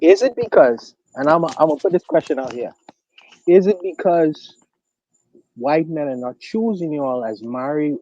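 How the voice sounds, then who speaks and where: A man with a Bahamian accent, hosting a casual livestream discussion and asking provocative questions in an animated way. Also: on the high side, dry recording. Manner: animated, provocative questions, laughs at own points. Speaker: podcast host. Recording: dry, dull lo-fi mic.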